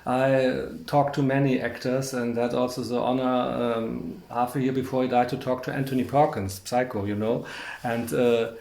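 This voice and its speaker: A man's voice: mid-range voice, steady tone, creaky, darkish tone. German-accented man interviewing, echoey room. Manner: rambling, halting anecdotes. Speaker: interviewer, film journalist. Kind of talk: conversational interview.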